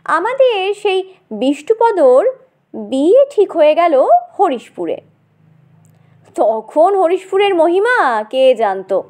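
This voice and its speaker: A woman narrating a comic story in an animated, dramatic and conversational way, as if speaking straight to the listener. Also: very high voice, takes it slowly, long pauses.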